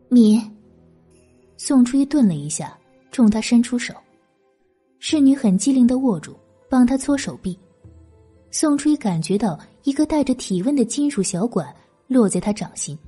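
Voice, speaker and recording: A woman's voice: a little high, mellow, husky. A woman reading a historical novel as scripted, dramatic audiobook narration.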